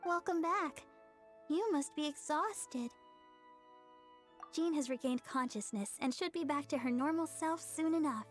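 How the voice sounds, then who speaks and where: A woman playing a sweet, devout, caring young church woman with a gentle manner in an anime video game. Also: high voice, a little slow, long pauses, faint music behind.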